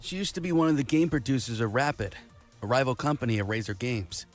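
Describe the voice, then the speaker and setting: A composed, businesslike young man leading his team in an anime-style game, voiced by an actor. His medium-pitched voice moves with lively intonation over faint music. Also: fast, natural voice. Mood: cool, measured. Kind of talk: anime-style visual novel voice acting.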